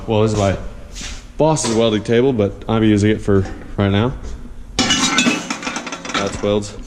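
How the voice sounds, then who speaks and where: A man's voice: medium pitch, darkish tone, long reverb tail, a little hiss or hum. A young man with a badly stuffed-up, nasal voice from allergies, rambling casually and cursing through a garage motorcycle walkthrough. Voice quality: nasal, plugged-up. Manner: rambling, profane, halting with many uhs. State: congested, stuffed-up nose from allergies. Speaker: motorcycle DIY vlogger. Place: home garage workshop.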